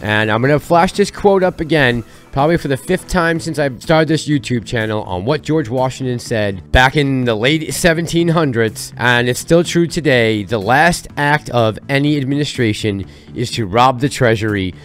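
A man's voice: mid-range voice, lively intonation, moderate pace, hardly any pauses, soft background music. Fired-up man ranting in a home studio. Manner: heated, ranting, sarcastic. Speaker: channel host. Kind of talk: fired-up commentary vlog. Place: small home studio with colored lights. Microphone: headset with boom mic.

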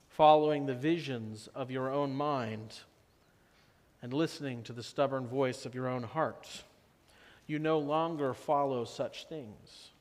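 A man in his thirties preaching a sermon as pastor, slow and measured, often dropping to a softer tone for emphasis. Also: medium pitch. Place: church sanctuary. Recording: long church reverb.

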